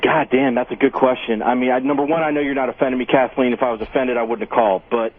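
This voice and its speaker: A man's voice: medium pitch, fairly even intonation, fast talker. A man calling in to a radio show, chatting casually and crudely over the phone. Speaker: caller. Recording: thin phone line.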